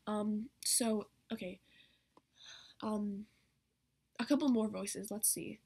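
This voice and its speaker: A woman's voice: medium pitch, steady tone, slow, a little mellow, slightly rough. A teenage girl talking casually to camera in a rambling way, saying "like" often, as she explains character voices. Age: teens. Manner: rambling, peppered with "like". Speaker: vlogger demonstrating character voices. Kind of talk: casual chatty tutorial to camera. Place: small bedroom, indoors. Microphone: laptop mic at arm's length.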